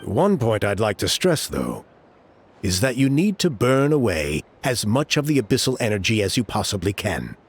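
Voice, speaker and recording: Old village elder, low husky voice, lively intonation, formal and persuasive, video game dialogue. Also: male voice, normal speed, heavily acted. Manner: formal, smooth-talking, expansive. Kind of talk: video game character acting.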